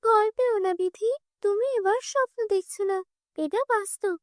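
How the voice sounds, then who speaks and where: Woman voicing a high-pitched kindly cartoon fairy. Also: moderate pace, no echo, clean studio sound. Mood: reassuring. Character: kind, reassuring magical fairy woman. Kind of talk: children's fairy-tale cartoon dub.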